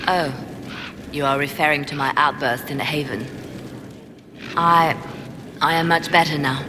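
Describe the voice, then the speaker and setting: An actress playing a devout, grieving yet composed female spymaster in a video game, with measured, grave, earnest delivery. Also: low-pitched, fairly even intonation. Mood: sorrowful, earnest. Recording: long hall reverb, clear background noise.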